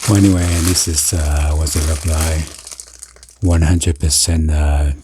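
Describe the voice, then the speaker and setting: Very deep-voiced, halting man. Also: little pitch movement. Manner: halting, hesitant delivery. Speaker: unboxing video host. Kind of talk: casual unboxing narration. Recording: noisy, with crinkling packaging and clicks. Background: packaging crinkling and clicking.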